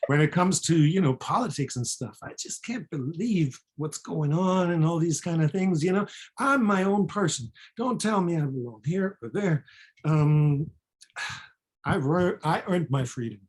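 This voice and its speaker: Husky middle-aged voice with a German accent. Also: mid-range voice, animated, a little slow, a little mellow. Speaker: physician and co-author, guest. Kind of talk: casual chat.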